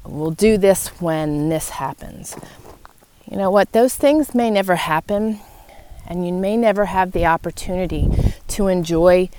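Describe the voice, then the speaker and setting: A woman with a slightly low voice talks directly to her listeners as a podcast host. She is earnest and encouraging, setting out her thoughts in short, reflective phrases with pauses between them. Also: a little mellow, a little hiss or hum. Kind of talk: personal, faith-based motivational talk to listeners. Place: outdoors in wind.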